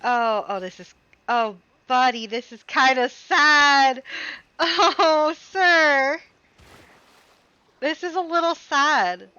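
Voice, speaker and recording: Woman streamer: bright, high, slow-paced casual commentary, close dry recording. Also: no room sound. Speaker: game streamer. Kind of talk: casual live reaction commentary. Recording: slightly dull, dry close sound.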